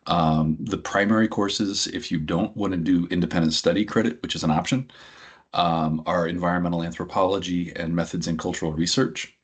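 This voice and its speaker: Husky low-voiced man explaining a program. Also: steady tone, brisk. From New Hampshire, USA. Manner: filler-heavy, conversational explaining. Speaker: program director and anthropologist. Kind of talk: information session presentation.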